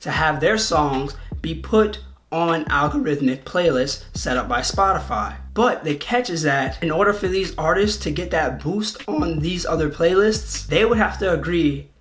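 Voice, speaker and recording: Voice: mid-range voice, lively intonation, unhurried, a bit bright. Conversational video host over music. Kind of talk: conversational video essay commentary.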